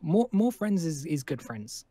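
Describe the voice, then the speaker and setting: A man's voice: on the high side, big pitch swings, measured pace, warm tone, no room sound. A man playing a nervous, friendly boy in an improvised game roleplay, stammering and repeating words in bursts. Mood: nervous, hopeful. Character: nervous, eager, friendly lone boy living in abandoned school.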